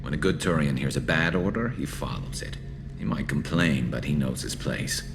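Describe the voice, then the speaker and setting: A man playing a loyal, battle-weary male alien soldier in a video game, with a dry, wry, measured delivery. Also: extremely low pitch, lively intonation, background noise. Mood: wry, relaxed.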